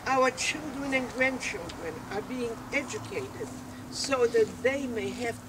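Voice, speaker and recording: An older woman giving a solemn, deliberate prepared speech at an outdoor dedication ceremony. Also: a little high. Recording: distant mic, loud outdoor background noise.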